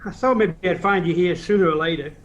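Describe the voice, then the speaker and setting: A man playing a kind, concerned, slightly awkward friend in an audio play, gentle and hesitant. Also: high-pitched, quick pace, natural voice. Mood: concerned, tender. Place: recorded at home during lockdown. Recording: muffled, noisy home recording.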